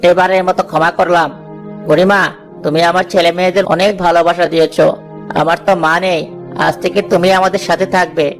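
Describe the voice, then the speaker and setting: A man's voice: sits high, flat delivery, quick pace. A man voicing an earnest, fatherly, righteous village man in a moral-story cartoon. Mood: forgiving. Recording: music under the voice.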